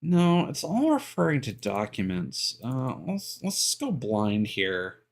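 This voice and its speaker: A male game streamer talks casually to his viewers at a slow pace, with a very animated pitch that moves around a lot. His voice sits in a middle register, and he often mutters to himself haltingly as he works through things out loud. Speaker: video game live streamer. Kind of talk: casual live stream chat, thinking aloud while troubleshooting.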